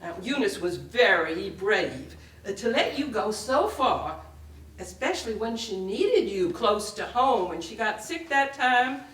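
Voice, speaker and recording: Actress as haughty wounded lady, slow and expressive. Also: medium pitch. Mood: wounded, pleading. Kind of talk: staged dramatic reading. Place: indoor live reading at a public library. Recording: echoey room, picked up from a distance.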